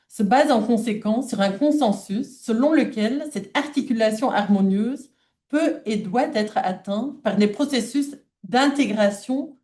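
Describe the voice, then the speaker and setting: A woman's voice: a little high, mellow. A woman who is not a native French speaker, giving a formal academic lecture read aloud from a prepared text. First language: not French (non-native speaker).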